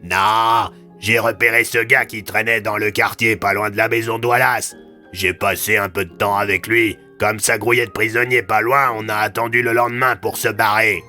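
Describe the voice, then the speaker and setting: A cheeky talking crow, acted by a man in an audio drama. The voice is bright and forward, with an offhand, slangy delivery over music. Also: fairly low pitch, fairly even intonation, moderate pace. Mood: breezy, matey. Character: talking crow, streetwise cheeky male companion.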